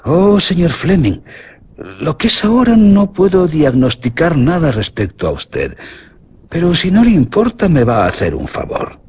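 An actor with a Castilian accent playing a polite, inquisitive doctor in a radio suspense drama, speaking deliberately and theatrically. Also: male voice, a little high, sing-song, a little mellow, slight background noise. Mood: polite, probing. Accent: Castilian Spanish. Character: courteous, inquisitive, calm male doctor in a suspense tale. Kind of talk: Spanish radio theatre acting.

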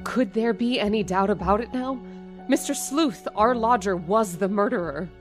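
A woman's voice: medium pitch, moderate pace, over music, close to an everyday voice. A woman playing a frightened, protective landlady and mother in an old-time radio horror drama, urgent and dramatic. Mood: haunted narration.

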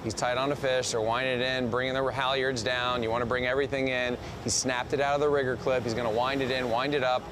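A man's voice: mid-range voice, level tone, fast talker, hardly any pauses, background noise. A middle-aged man co-hosting a fishing show from a TV studio, casually explaining fishing technique in detail over the footage. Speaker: fishing show co-host, analyst. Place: TV studio set.

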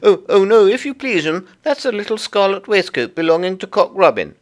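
A very high, swooping voice for a stout little hedgehog washerwoman , acted out by a man. She is fussy and flustered, always polite and eager to explain, like a deferential servant from a children's storybook. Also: expressive, a little fast. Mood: apologetic, fussy. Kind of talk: storybook character voice.

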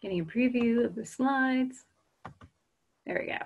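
A woman's voice: fairly high pitch, unhurried, creaky, lo-fi sound, slight background noise. A woman in her forties with an American accent, chatting casually on a video call webinar and reading out audience answers. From United States. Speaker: webinar presenter. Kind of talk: casual chat while waiting to start. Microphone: video call webcam.

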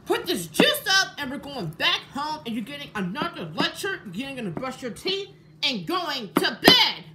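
A woman voicing a bossy, exasperated female caretaker in an amateur toy skit, scolding with drawn-out, emphatic commands. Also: sits high, very animated. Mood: stern, scolding. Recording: noisy homemade recording.

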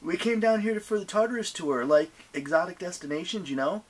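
Narrator doing a teen hero boy, higher voice, casual n slangy, kinda wary, going along with it. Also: animated, a little fast, slightly rough. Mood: warming up. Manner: casual, laid-back slangy.

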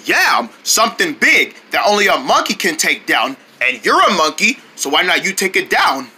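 A man's voice: high-pitched, huge pitch swings, moderate pace, pushed and bright, breathy edge, exaggerated voice. A man voicing a pushy, teasing friend who goads and persuades in an amateur cartoon skit. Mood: coaxing. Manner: goading, persuasive.

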